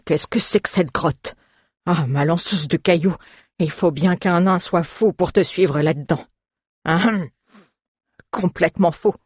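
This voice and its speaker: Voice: medium pitch, measured pace, husky, dry recording, clean studio sound, pitched above the performer's own voice. A reader voicing a grumpy, sarcastic male dwarf adventurer in a fantasy audiobook, grumbling and exclaiming indignantly.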